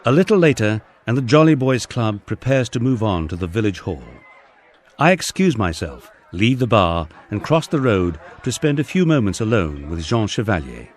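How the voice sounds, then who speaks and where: A man's voice: lowish voice, big pitch swings, normal speed, a faint crowd. A man reading his own memoir aloud in a wry, storytelling manner. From England. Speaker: audiobook narrator. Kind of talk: first-person memoir narration.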